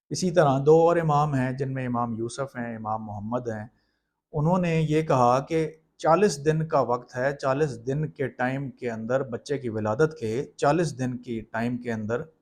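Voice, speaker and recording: A man's voice: medium pitch, a little mellow. A man with a Pakistani accent explains law in an informal lecture style, mixing in English legal terms.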